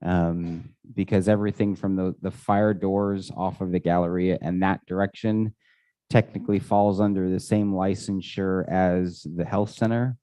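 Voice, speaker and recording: A man's voice: low-pitched, steady tone, normal speed, warm tone, no echo. A man answering residents' questions at a community meeting, hesitant and full of fillers and restarts. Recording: dull, dry lo-fi audio.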